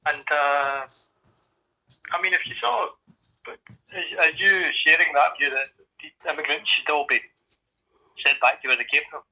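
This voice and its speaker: A man's voice: on the high side, fairly even intonation, moderate pace, long pauses, bright, forceful tone. A man asking interview questions over the telephone, hesitant and restarting his questions as he goes. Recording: dull, distant-sounding telephone line.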